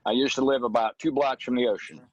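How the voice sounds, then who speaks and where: Male, American, articulate casual talker, medium pitch, muffled video-call sound. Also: fairly even intonation, quick pace, breathy edge, dry recording. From Southern California, USA. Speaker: group call participant. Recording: dull, dry video call audio.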